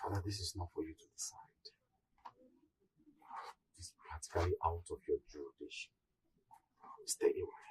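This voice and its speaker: An actor with a Nigerian accent playing a proud young prince, defiant and emphatic, in a royal drama. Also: male voice, deep voice, moderate pace, long pauses, a little mellow, slight background noise, close to an everyday voice, rough recording. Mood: resentful, defiant. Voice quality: very husky, raspy. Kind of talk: Nollywood royal drama acting.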